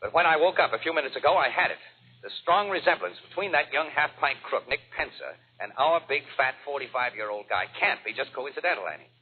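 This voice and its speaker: An actor playing a brash, wisecracking crime photographer, eager and insistent, in a 1940s radio drama. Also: male voice, a little high, expressive, fast, slightly rough, phone-quality sound. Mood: eager, persuasive. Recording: noisy, band-limited old radio recording.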